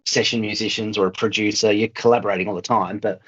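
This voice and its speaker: A man's voice: fairly low pitch, steady tone, quick pace, fairly bright tone, husky, highs cut off. A man interviewing in a casual, conversational way, rephrasing his questions tentatively as he goes.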